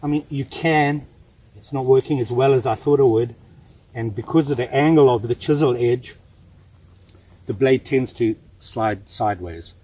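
A man in his sixties giving a relaxed, chatty hands-on knife review outdoors in the woods, with plenty of fillers and restarts. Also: medium pitch, moderate pace, husky. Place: outdoors in woods, kneeling in the undergrowth.

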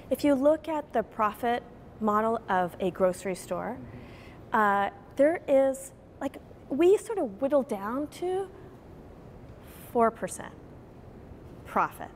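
A woman's voice: on the high side, slight background noise. A woman in her forties, a grocery chain executive, speaking earnestly and animatedly in a TV news interview inside a store.

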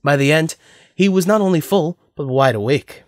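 A man's voice: fairly high pitch, moderate pace, no room sound. A man narrating a scripted story as the narrator of an audio drama.

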